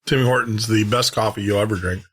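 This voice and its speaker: A man's voice: lowish voice, fairly even intonation, fast, a bit bright. A man hosting a radio show and podcast, talking to listeners in a casual, rambling, chatty monologue.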